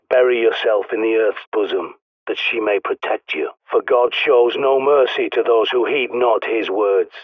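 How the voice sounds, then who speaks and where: Gruff British survivalist over tinny radio. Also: male voice, on the low side, no room sound. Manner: blunt, sweary, commanding. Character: gruff, bossy, paranoid male doomsday survivalist guiding a survivor. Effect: radio transmission filter. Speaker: video game voice actor. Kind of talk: video game character dialogue. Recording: narrow, phone-like radio sound.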